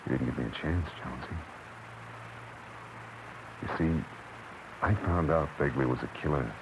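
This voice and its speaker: A man's voice: very deep voice, noisy background. An American man playing a tough, weary private detective in a terse 1940s radio drama style, narrating and speaking in scenes. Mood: tender, bitter. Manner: terse, hard-boiled delivery. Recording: vintage radio recording with music.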